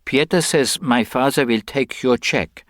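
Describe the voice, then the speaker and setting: A man voicing a cool young foreign woman in a storybook reading, speaking formal, measured English. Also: mid-range voice, fairly bright tone, no echo, clean studio sound, pitched above the performer's own voice, lightly acted. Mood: cool, insistent.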